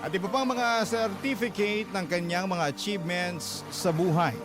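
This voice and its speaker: High-pitched Filipino male radio storyteller over music. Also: normal speed. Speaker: radio host reading listener letters. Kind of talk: dramatic radio storytelling narration. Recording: music bed behind the voice. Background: background music.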